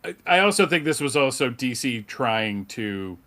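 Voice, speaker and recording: Guy on a panel explaining stuff casually, average pitch. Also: very animated, moderate pace, bright, forceful tone.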